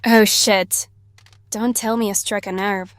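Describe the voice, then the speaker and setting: A woman's voice: mid-range voice, steady tone, unhurried, dry recording, lightly acted. A woman playing a blunt, curious, defiant sixteen-year-old girl with a casual, sweary manner in an audio drama.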